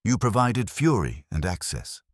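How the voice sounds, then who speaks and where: A man's voice: on the low side, big pitch swings, measured pace, no echo, clean studio sound, pitched above the performer's own voice. A man voicing a stern, imperious detective genius in an audiobook, with a pompous, formal and accusatory way of speaking. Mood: accusing, commanding.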